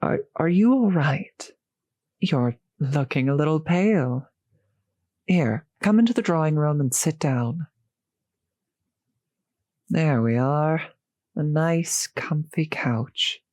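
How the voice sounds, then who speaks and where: A woman playing a courteous, gentle centuries-old vampire host in a roleplay audio drama, speaking politely and slightly formally to the listener, with soft hesitations. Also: sits low, animated, takes it slowly, pauses often, no room sound, studio quality, exaggerated voice. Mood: soothing concern. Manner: polite, softly hesitant, old-fashioned genteel phrasing.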